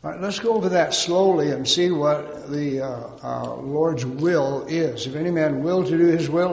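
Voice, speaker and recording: A man preaching a sermon in a measured way, pausing often on fillers. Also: a little high, creaky, darkish tone. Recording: echoey hall.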